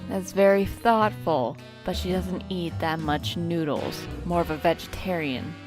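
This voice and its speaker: A woman's voice: fairly low pitch, a little slow, music in the background, close to an everyday voice, rough recording. A woman voicing a blunt young female student in a fan audio drama, matter-of-fact. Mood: casual.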